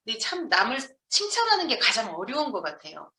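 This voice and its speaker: A woman's voice: fairly high pitch, huge pitch swings, fast, pushed and bright. A woman from Jeonju, South Korea, giving a motivational business training lecture to an audience, emphatically posing questions and answering them herself. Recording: echoey room, distant microphone.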